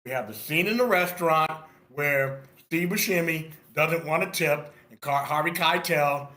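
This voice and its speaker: A bright, fairly high-voiced man delivers an informal script critique. He is theatrical and emphatic, hammering phrases by repeating them, and the room around him echoes. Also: normal speed. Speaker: screenplay reader giving feedback. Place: online video meeting.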